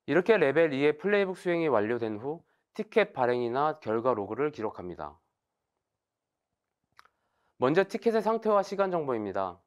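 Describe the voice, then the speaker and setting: A man in his thirties giving a formal, scripted technical webinar presentation in a measured, explanatory way. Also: fairly high pitch, clear, resonant tone.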